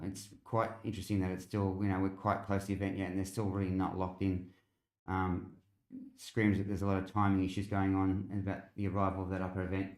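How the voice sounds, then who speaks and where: A low-pitched Australian man speaks in a noticeably roomy, echoing space. He hosts a live weather commentary in an informal, conversational way, explaining as he goes and answering the chat. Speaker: weather livestream host.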